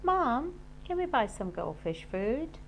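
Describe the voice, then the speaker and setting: A woman's voice: high voice, big pitch swings, slow pace, slight background noise, voice pushed up, heavily acted. A woman voicing a cheeky, eager young boy bargaining in a storybook. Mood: excited.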